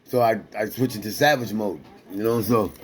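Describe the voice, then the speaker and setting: A man's voice: fairly low pitch, moderate pace. A man in his forties, an amateur boxer, talking casually in loose, repetitive street slang with lots of restarts. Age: 40s. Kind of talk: casual rambling talk to a companion.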